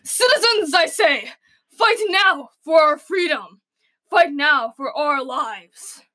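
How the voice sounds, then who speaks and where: A woman playing an earnest, defiant radio co-host who declaims deliberately in a comic audio drama. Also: high-pitched, expressive, pushed and bright, full character voice. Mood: rousing. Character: solemn, defiant rebel radio co-host named Frank.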